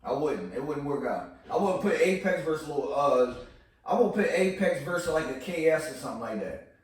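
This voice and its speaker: A man's voice: a little high, lively intonation, a little fast. A man chatting in a casual interview, laid-back, laughing often and giving short agreeing replies. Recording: echoey room, distant mic, faint background noise.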